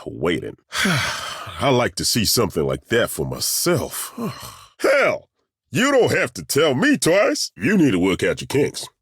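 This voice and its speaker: An actor playing a burly, good-humoured ageing masseur turned adventurer in a video game, with a husky voice and a folksy, self-deprecating, joking way of talking. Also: male voice, medium pitch, huge pitch swings, fairly bright tone, no room sound, clean studio sound, full character voice. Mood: easygoing, inviting. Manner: folksy, joking, chuckling, drawn-out hesitations. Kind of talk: video game character dialogue.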